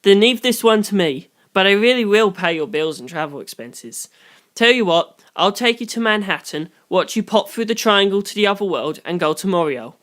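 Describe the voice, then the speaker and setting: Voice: medium pitch, expressive, moderate pace, a bit bright, voice pushed up, close to an everyday voice. Audiobook role: brash young man, a curious detective, informal and breezy, acted out by a narrator. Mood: eager, defiant.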